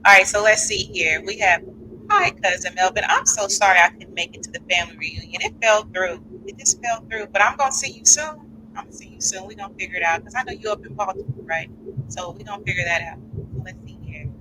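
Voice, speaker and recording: A woman hosting a live call-in podcast, chatty and animated, with speech and reading out listener comments. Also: mid-range voice, quick pace, slight background noise, poor audio. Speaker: podcast host.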